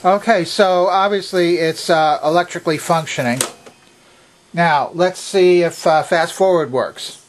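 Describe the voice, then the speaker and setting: Older man, somewhat high voice, unscripted demonstration, methodical, casual explaining. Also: unhurried, a little hiss or hum. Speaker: presenter of a vintage electronics repair video. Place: indoor workbench.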